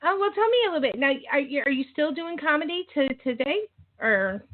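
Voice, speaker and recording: A woman's voice: high-pitched, big pitch swings, brisk. A woman from western Massachusetts with the local accent, hosting an interview with chuckling, encouraging questions. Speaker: interview host. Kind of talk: casual interview chat. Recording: tinny phone line.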